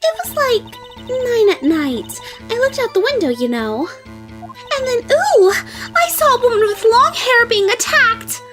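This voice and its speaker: A woman's voice: extremely high pitch, big pitch swings, measured pace, heavily acted. A woman playing a giggly, flirty ditzy witness. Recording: with background music.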